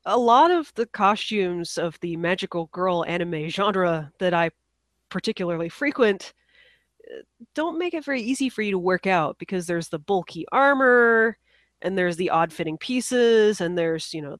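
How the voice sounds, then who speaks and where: A woman's voice: lowish voice, lively intonation, moderate pace, no echo. Woman, congested from a cold, casual podcast co-host, self-deprecating, dry close sound. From United States. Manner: apologetic, self-deprecating, laughs at herself. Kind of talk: casual chat.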